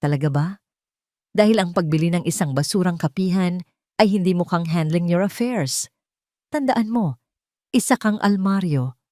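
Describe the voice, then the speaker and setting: A woman's voice: lowish voice, lively intonation, normal speed, pauses often, dark tone, no echo, studio quality, lightly acted. A woman voicing a haughty, rich older mother in an audio drama, cold, scolding and full of authority. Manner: imperious, scolding.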